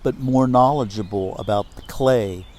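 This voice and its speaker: Slow, mellow-voiced man reading a story. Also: medium pitch. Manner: measured, deliberate phrasing with pauses. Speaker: reader of a literary myth. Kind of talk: expressive storytelling, reading prose aloud.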